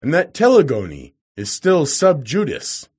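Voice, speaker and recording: A man's voice: fairly high pitch, wildly swooping pitch, unhurried, a little mellow, breathy edge, voice pushed up, full character voice. A man voicing a hot-tempered, arrogant professor in an audiobook, bellowing and mocking as he challenges people. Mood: challenging. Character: huge, bearded, roaring, hot-tempered, arrogant male professor. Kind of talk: audiobook character voice.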